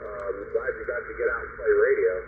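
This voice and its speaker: A man from Ohio chatting casually over ham radio, relaxed and rambling with many fillers and restarts. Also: lowish voice, quick pace. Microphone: heard over a shortwave radio link. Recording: tinny radio audio with hiss.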